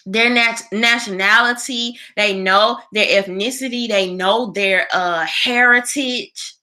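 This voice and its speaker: An American woman hosts a casual livestream in a bright, forward voice. She takes her time, speaking conversationally and with emphasis as she gives her opinions and answers viewers. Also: on the high side. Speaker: live show host.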